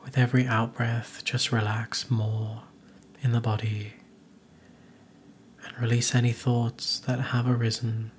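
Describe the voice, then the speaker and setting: A man narrating a guided meditation in a soothing, gentle, hushed manner with soft, breathy phrase endings. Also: medium pitch, little pitch movement, measured pace, a little hiss or hum.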